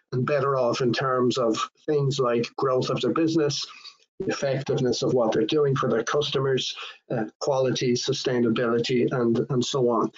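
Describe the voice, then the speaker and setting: An Irish man in his fifties, a supply chain consultant, giving a measured, formal webinar talk with frequent fillers and restarts. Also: medium pitch, husky. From Ireland. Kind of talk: webinar presentation. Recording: dull lo-fi webinar audio.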